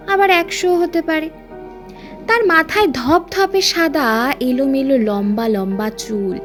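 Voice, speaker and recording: A woman storyteller giving a dramatic scripted narration of a horror story. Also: high voice.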